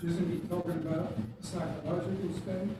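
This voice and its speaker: A man's voice: high-pitched, steady tone, fairly quick. A man in a class, casually asking questions as a student, recorded from across a room. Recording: distant, echoey room with clear noise.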